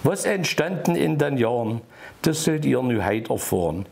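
A man in his sixties with an Erzgebirge Saxon accent, reciting a humorous dialect poem in rhythmic rhyme with a gravelly, husky voice. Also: medium pitch, big pitch swings, moderate pace, darkish tone. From Erzgebirge, Germany. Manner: rhythmic, rhyming delivery read from paper. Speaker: dialect poet. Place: small indoor room by a wood stove.

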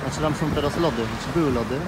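Man behind the camera, relaxed guide-style vlog narration, mid-pitched, busy street noise. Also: racing. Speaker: vlog host filming. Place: city street outdoors. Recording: noisy street with traffic.

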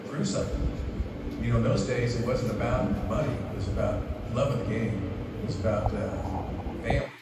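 Older man, halting, fond remembrances. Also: fairly low pitch, heavy background noise. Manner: halting and reflective, many fillers. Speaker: son of the person honored. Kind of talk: personal remembrance interview. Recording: distant, echoey hall with music.

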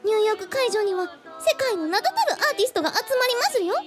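A woman's voice: very high-pitched, animated, very fast, loud music behind the voice. A woman voicing a precocious genius little girl in a Japanese radio drama, speaking with quaint, very formal, old-fashioned politeness. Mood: cheerful, admiring.

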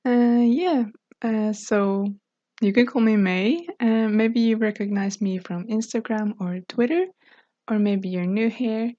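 A woman's voice: fairly high pitch, steady tone, a little slow, dark tone, dry recording. A woman in her twenties from Norway narrating her game building video in a friendly, chatty way, with fillers and light laughs. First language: Norwegian. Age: 20s. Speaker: Sims speed-build YouTuber. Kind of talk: casual voice-over narration. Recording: slightly dull, dry home recording.

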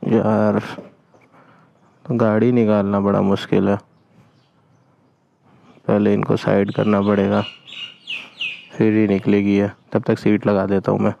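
A man's voice: fairly low pitch, completely flat delivery, unhurried, vocal fry, pauses often, warm tone, dull audio. A man chatting informally to viewers in short remarks, as a motorcycle vlogger. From India. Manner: informal, talking to viewers in short remarks while busy with the bike. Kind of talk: casual motovlog chat. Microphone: action camera setup with helmet. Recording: outdoor vehicle and street noise.

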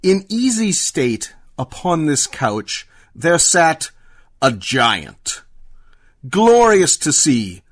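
Male storyteller: dramatic, unhurried, suspenseful, higher-pitched and lively. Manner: deliberate, suspenseful pauses. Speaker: narrator.

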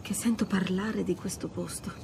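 A woman's voice: medium pitch, fairly even intonation, normal speed, vocal fry, darkish tone, a little hiss or hum, natural voice. An actress playing a wry, adventurous treasure hunter in her thirties, teasing and understated, in naturalistic video game dialogue. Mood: awed.

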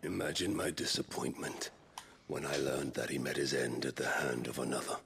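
A deep, gravelly villain taunts his enemy in a video game cutscene. The delivery is slow and theatrical, sneering at every word, with a sharp, cutting edge on top of the rumble. Faint noise sits under the game audio. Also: heavily acted. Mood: taunting. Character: older male warlord villain, mocking and cruel.

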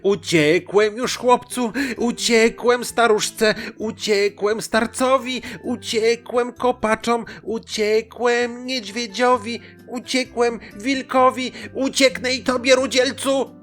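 Man voicing a high-pitched boastful little runaway pancake. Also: slow, vocal fry, sharp tone, faint music behind, exaggerated voice. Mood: angry, shouting boasts. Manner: taunting, chanting sing-song boasts. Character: boastful, insolent runaway pancake, cheeky and small. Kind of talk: children's fairy tale storytelling.

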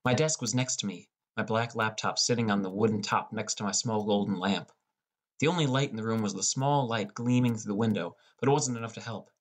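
A young man reading a scripted first-person audiobook as a depressed high school boy. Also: brisk. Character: depressed, irritable high school boy telling his story. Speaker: audiobook narrator.

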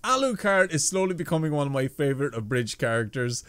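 Enthusiastic young man, fairly high voice. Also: expressive, fast talker, fairly bright tone, clear, resonant tone, no room sound. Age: 20s. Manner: enthusiastic, slangy. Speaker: reaction video host. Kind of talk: casual reaction commentary. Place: small bedroom indoors.

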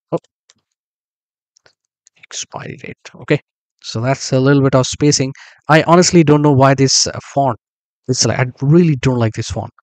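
A man explaining a database build step by step while working on screen, thinking aloud with frequent pauses and small self-corrections. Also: medium pitch, no room sound, rough recording. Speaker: software tutorial instructor. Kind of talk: screen-recorded tutorial narration.

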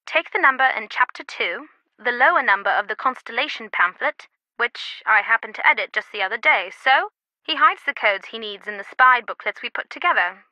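A woman's voice: a little high, expressive, moderate pace, bright, forceful tone, no room sound, rough recording. A woman playing a worldly, wry antiquities expert in an adventure game, talking in a conversational, confiding way. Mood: matter-of-fact, confiding. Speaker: video game voice actor. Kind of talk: scripted adventure game dialogue.

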